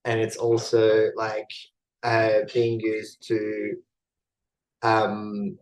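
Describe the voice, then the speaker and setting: A man's voice: fairly low pitch, monotone, drawn-out words, low-quality recording. A man from Iran co-hosting a casual podcast, talking in a thoughtful way as he searches for his words. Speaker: podcast co-host.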